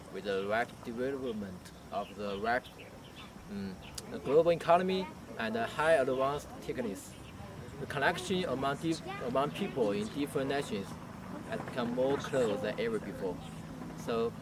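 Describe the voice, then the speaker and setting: A young male university student, a native Chinese speaker, giving hesitant prepared answers with many fillers. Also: medium pitch. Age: young adult. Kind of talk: prepared answers for a language project. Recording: distant mic, street noise behind.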